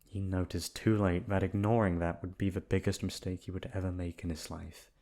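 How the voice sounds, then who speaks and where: A man's voice: sits low, level tone, a little fast, dark tone. A man narrating a bedtime storybook tale as a voice actor, in an ASMR sleep-aid style.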